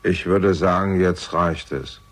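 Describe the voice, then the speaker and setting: An actor playing an unflappable older father in a German radio crime drama, with a gravelly voice and a dry, measured, ironic manner. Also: sits low, fairly even intonation, a little hiss or hum. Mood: quietly commanding.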